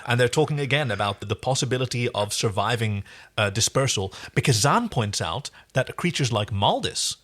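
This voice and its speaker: A man with a mid-pitched voice co-hosts a podcast. He talks casually, recapping a television episode in an informal back-and-forth. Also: lively intonation, normal speed, a bit bright. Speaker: podcast co-host.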